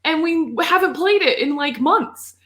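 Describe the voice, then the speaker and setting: A woman's voice: extremely high pitch, lively intonation, a little slow, sharp tone. A woman co-hosting a podcast, chatting casually about video games in an informal, rambling way. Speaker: podcast co-host.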